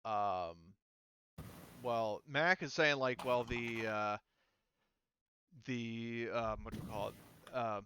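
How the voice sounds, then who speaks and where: A man's voice: mid-range voice, slow pace, pauses often, bright, forceful tone, slight background noise. A man improvising narration as game master in a casual, rambling, hesitant way.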